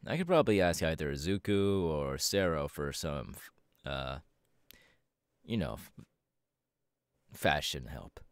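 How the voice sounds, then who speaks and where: Casting: male actor for cocky, easygoing young winged hero, low register, very animated intonation, slangy and hesitant, audio roleplay. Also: clear, resonant tone, dry recording, studio quality, full character voice. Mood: musing, easygoing. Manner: casual, hesitant pauses, slangy. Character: laid-back, cocky young male winged superhero and model. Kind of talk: ASMR audio roleplay, casual conversational acting.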